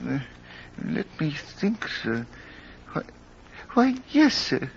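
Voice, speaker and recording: An actor playing a polite, hesitant manservant in a 1940s radio drama, husky and halting, on a muffled old recording. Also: male voice, on the high side, huge pitch swings, slight background noise, full character voice. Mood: hesitant, deferential. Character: deferential, hesitant male servant, easily tickled.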